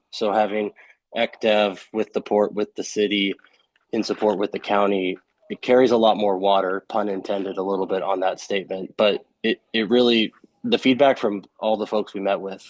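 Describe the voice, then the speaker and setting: A man's voice: fairly low pitch, no echo, low-quality recording. A man representing a county development council, joining remotely by video call and speaking casually with a lot of "you know.". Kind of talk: remarks over a video call. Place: remote, not in the meeting room.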